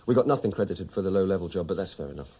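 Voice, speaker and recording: An actor playing a wry, urbane wartime RAF officer in a radio drama, with a dry, teasing, donnish manner. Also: male voice, low-pitched, dark tone, breathy edge. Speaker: actor and narrator in a radio play. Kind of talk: 1980s radio drama acting.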